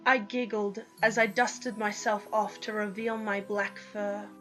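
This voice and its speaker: A woman's voice: mid-range voice, flat delivery, a little slow, a bit bright. A young woman giving a dramatic first-person reading of a story, on a lo-fi recording with music behind. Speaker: reader of own story.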